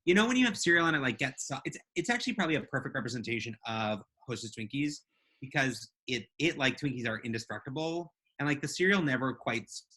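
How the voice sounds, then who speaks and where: A woman with a bright, forward voice co-hosts a relaxed live podcast. She talks casually and playfully, as if chatting with friends. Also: rumbling low, fast, breathy edge. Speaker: podcast co-host.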